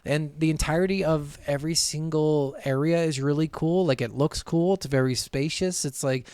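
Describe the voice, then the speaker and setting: A man's voice: a little high, fairly even intonation, normal speed, darkish tone, clear, resonant tone, no room sound. A man chatting casually as a video game podcast host, emphatic and swearing freely. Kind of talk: casual chat.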